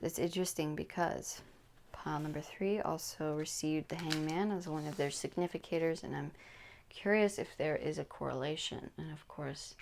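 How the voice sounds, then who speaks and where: Woman tarot reader, low and gravelly, soothing and whispery, close-miked. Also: darkish tone, slight background noise. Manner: slow, soothing, drops into whispers. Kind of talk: pick-a-card tarot reading.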